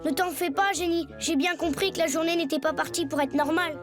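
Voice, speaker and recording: High-pitched, earnest cartoon schoolboy. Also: female voice, fairly even intonation, a little fast. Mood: puzzled, wishful. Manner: earnest, hesitant, boyish. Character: polite, good-natured young schoolboy with a magic lamp. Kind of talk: French cartoon voice acting. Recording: music behind the voice. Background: music.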